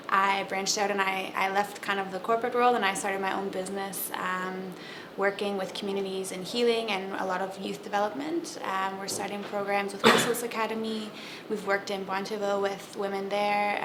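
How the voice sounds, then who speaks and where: Flat-voiced woman, noisy room. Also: mid-range voice. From South Africa. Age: 20s. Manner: conversational, with many um and you know fillers. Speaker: social entrepreneur. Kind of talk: panel discussion. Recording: noisy ambient background.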